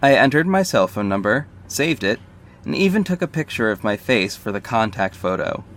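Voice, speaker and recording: A man's voice: fairly low pitch, very animated, normal speed, a bit bright. A man playing a confident, flirty detective and secret vigilante, narrating an audio drama in the first person, conversational and confiding. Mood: charming, flirty. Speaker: narrator and lead character. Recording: faint background noise.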